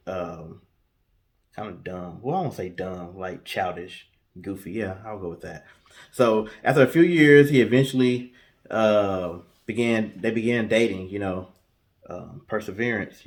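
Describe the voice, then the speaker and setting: A man co-hosting a storytelling show, speaking casually and haltingly, often pausing mid-sentence. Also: fairly low pitch. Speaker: co-host telling stories in a guessing-game show.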